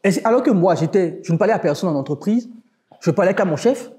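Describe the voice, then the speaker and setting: Mellow-voiced man with a West African accent. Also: high voice, expressive, fast talker. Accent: West African French. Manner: emphatic, motivational, addresses listeners directly. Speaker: online business entrepreneur. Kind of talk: casual podcast conversation.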